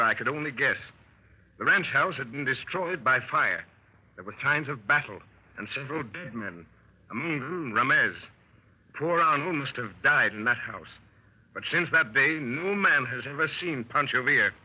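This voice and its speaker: A husky, commanding adventurer. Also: male voice, mid-range voice, lively intonation. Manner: brisk, commanding, earnest. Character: seasoned American adventurer telling his tale. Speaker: narrator of the story. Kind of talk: 1930s radio acting. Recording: muffled 1930s radio recording.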